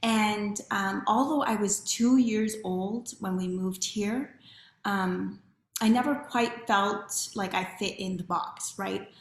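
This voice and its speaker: A woman's voice: medium pitch, takes it slowly, echoey room. A woman in her thirties from Chile, a podcast guest telling her life story in a candid, reflective way with frequent "right?". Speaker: podcast guest, community builder. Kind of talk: personal storytelling interview.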